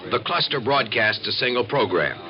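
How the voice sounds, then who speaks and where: A man's voice: mid-range voice, expressive, moderate pace, lightly acted. An American man playing an earnest, assured civil defense expert who explains and narrates in a 1950s radio documentary. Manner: explanatory, folksy, emphatic. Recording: dull old radio recording with music.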